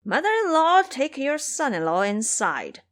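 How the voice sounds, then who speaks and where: A woman's voice: high-pitched, very animated, unhurried, voice pushed up. A woman playing a cheeky, bossy male monkey disciple in an audio drama, teasing and mocking.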